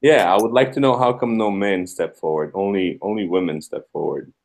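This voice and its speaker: A man's voice: low voice, fairly quick, creaky, darkish tone. A man speaking casually as a podcast guest, in a guarded, hesitant way. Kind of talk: casual chat.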